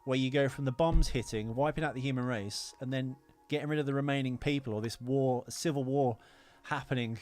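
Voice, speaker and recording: A man's voice: mid-range voice, a little fast, few pauses, a little mellow. A man co-hosting a podcast in casual, opinionated film chat, ranting emphatically and swearing freely. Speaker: podcast co-host.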